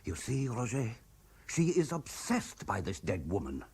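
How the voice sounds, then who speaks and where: A man's voice: fairly low pitch, wildly swooping pitch, a little slow, a little mellow, husky, full character voice. A man playing a self-important, anxious businessman husband in a radio play, with a pompous manner. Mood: concerned. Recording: 1970s radio drama recording.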